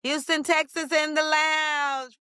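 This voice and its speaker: A woman hosting an interview podcast, speaking with energetic, emphatic, preacher-like emphasis. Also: sits high, slow pace, no room sound, clean studio sound. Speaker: podcast host.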